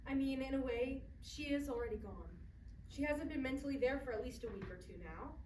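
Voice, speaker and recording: A woman's voice: sits high, animated, brisk, darkish tone. A woman playing a caring, reassuring adult relative in a staged reading, solicitous and plain-spoken. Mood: concerned. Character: caring, attentive adult family member, reassuring. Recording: echoey room, distant mic, background noise.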